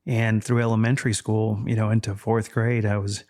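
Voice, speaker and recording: A man in his fifties from Texas, Spanish his first language, talking in a measured, reflective way as a podcast guest. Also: lowish voice, flat delivery, darkish tone. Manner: measured, reflective, with frequent fillers. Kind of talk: casual interview chat.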